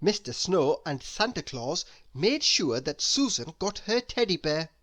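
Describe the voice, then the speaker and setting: Slow, lively male storybook reader. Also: fairly high pitch. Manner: deliberate, expressive storytelling with emphasis. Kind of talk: children's story read-aloud.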